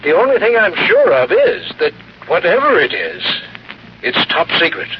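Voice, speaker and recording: Polite, higher-voiced insurance manager. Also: male voice, wildly swooping pitch, normal speed. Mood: earnest, concerned. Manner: formal, deferential. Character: polite, earnest male insurance office manager. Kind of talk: old-time radio drama acting. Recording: noisy, muffled old radio recording.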